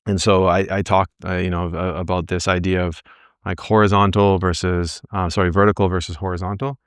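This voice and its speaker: A man's voice: low-pitched, clean tone, no room sound, studio quality. A man in his early forties giving an informal motivational coaching talk, conversational, often checking in with "right?" and voicing his own thoughts as quotes. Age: early 40s. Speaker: back pain coach leading a group session.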